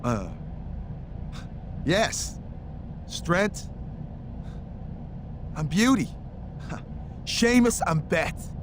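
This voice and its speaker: A man playing a big lovestruck brutish wrestler in a video game cutscene, speaking slowly and haltingly. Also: sits high, very animated, noisy background, heavily acted. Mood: smitten surprise.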